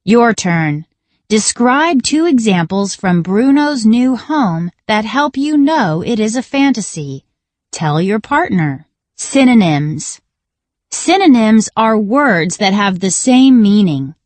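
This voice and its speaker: A woman reading a scripted children's reading lesson in a deliberate, instructional manner. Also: mid-range voice, big pitch swings, a little mellow, no echo. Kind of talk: scripted educational read-along narration for children.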